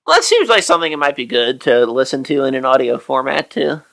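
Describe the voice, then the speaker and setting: A man hosting a podcast, chatting easily with chuckles and reading a poem of his own slowly. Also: mid-range voice, very animated, gravelly, a bit bright. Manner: easygoing, chuckling, reads poem slowly and deliberately. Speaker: podcast host. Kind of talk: casual podcast chat and poetry reading.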